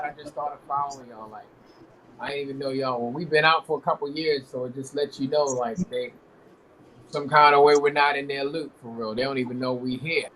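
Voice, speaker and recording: A man's voice: medium pitch, fairly bright tone, a little hiss or hum, rough recording. A man who is a guest R&B singer, talking casually in an interview and rambling with many fillers.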